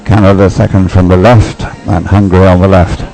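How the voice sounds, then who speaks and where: A man's voice: low voice, flat delivery, fairly quick, vocal fry, warm tone, soft background music, rough recording. A man giving unscripted live race commentary in a rambling, hesitant way, often thinking aloud. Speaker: sports commentator.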